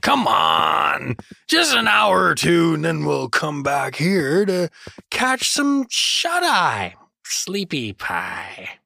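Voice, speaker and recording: A man's voice: a little high, huge pitch swings, slow, gravelly, bright, forceful tone, no room sound, clean studio sound, exaggerated voice. A man playing a goofy, boastful, drunken adventurer in a fantasy audio drama, slurring and muddling his words. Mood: tipsy, playful.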